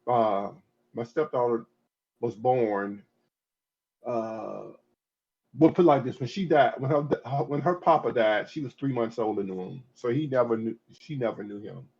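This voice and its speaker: Husky man in his fifties, rambling. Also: mid-range voice, unhurried, a little mellow. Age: 50s. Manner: rambling, with many restarts and "I mean". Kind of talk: casual podcast conversation. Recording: dull lo-fi audio.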